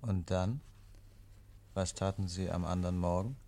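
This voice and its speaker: An actor playing a stern police inspector in a 1950s German radio drama, questioning tersely and giving orders. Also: male voice, deep voice, normal speed, warm tone, slight background noise. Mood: probing. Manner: terse, commanding, prompting.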